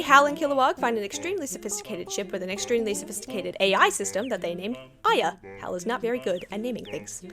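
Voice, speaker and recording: A woman giving a casual, excited recap commentary with emphatic, exclamatory, slangy delivery. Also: on the high side. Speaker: YouTube video essayist, fan of animated series.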